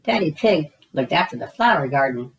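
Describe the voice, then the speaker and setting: A woman voicing a gentle, patient mother pig who speaks kindly. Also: deep voice, very animated, normal speed. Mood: fond.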